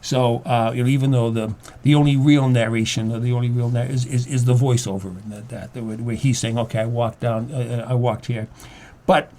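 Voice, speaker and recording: An older man speaking as a guest in a conversational interview, explaining things haltingly and repeating his words as he goes. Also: mid-range voice, level tone, warm tone, slight background noise. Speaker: guest playwright and acting teacher.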